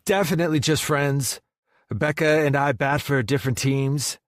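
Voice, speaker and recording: A man's voice: on the high side, steady tone, normal speed, dry recording, clean studio sound, pitched above the performer's own voice, close to an everyday voice. A man voicing an amiable, easily cowed male office colleague in a romance audiobook, eager and conciliatory.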